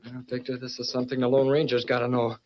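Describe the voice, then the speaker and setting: A male actor playing an earnest, excitable fourteen-year-old cowboy in an old western radio drama. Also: mid-range voice, fast talker, close to an everyday voice. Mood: surprised. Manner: excitable, urgent. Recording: muffled, noisy vintage radio recording.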